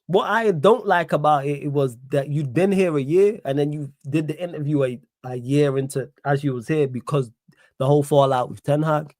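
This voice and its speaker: A man's voice: on the high side, moderate pace, darkish tone, no echo, studio quality. Indignant Mancunian man streaming live. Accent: Manchester. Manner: indignant, repeats phrases, reads chat aloud. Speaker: livestream host. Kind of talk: live stream chat reaction.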